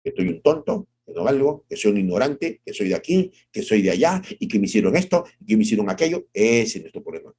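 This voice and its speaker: A middle-aged male pastor giving a devotional sermon, asking rhetorical questions and acting out quotes, moving from soft drawn-out phrases to sudden high exclamations. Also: big pitch swings, breathy edge.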